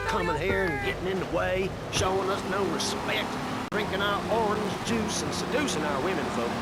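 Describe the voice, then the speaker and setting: A man playing a fanatical crackpot Florida secessionist on a satirical radio panel in a game. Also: high voice. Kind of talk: satirical talk radio acting. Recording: loud car noise.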